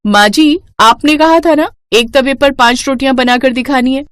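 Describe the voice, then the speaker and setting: A woman voicing a clever, self-assured young bride in a cartoon story, brisk and politely cheeky. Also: high-pitched, fairly bright tone, clear, resonant tone, pitched above the performer's own voice. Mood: confident, cheeky.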